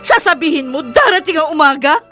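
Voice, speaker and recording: An actress playing a wronged, grieving young heiress seeking justice, earnest and dramatic, in a Filipino radio drama. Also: female voice, high-pitched, lively intonation, a little fast, pushed and bright, soft background music. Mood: anguished. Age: young adult.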